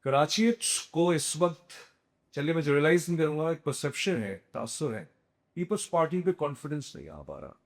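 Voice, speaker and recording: A man's voice: a little high, animated, moderate pace, gravelly. A man interviewing a politician, questioning him and pushing back on his answers. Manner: challenging, pushes back with questions.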